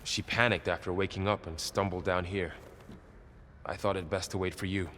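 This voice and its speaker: A protective companion from a game cutscene in a fairly low voice. He is calm, serious and measured, with light background noise. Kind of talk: video game cutscene acting.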